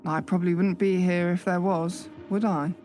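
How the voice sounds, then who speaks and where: A woman's voice: on the low side, fairly even intonation, normal speed, mellow, soft background music, lightly acted. A woman playing an observant, dryly sceptical new employee in a radio play, with a questioning manner. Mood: wry. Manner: dry, questioning.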